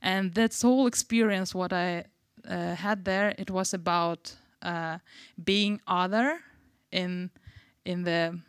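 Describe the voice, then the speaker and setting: A woman from Uzbekistan whose first language is Russian, speaking haltingly as a panelist and searching for English words. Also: fairly low pitch, fairly even intonation, clean tone, no echo, studio quality. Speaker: artist panelist. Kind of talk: panel talk.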